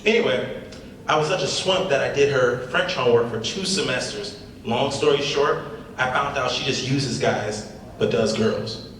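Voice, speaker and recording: A man's voice: mid-range voice, normal speed, fairly bright tone, lightly acted. A man playing a cocky, crude young stand-up comedian telling a story in a script reading. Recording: very echoey hall, far mic, faint noise.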